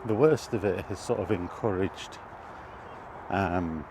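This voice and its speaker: A British man giving rambling, casual commentary while walking outdoors, halting and thinking aloud as he goes. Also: low voice. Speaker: video host. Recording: outdoor ambient noise throughout.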